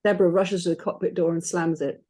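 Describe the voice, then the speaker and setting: A woman's voice: fairly low pitch, steady tone, fast talker, warm tone. A woman reading out stage directions as scripted narration.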